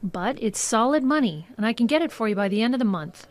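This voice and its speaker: A woman acting in an audio drama as a skeptical, blunt but soft-hearted agent with a matter-of-fact manner. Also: a little high, lively intonation, quick pace, close to an everyday voice. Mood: businesslike, blunt. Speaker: actor.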